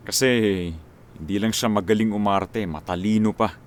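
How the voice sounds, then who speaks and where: A man acting in a Filipino radio drama as a famous action star, sentimental and devoted to his former screen partner, speaking in a sincere, halting way. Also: on the low side, steady tone, slight background noise, lightly acted. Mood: proud, fond. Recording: music behind the voice.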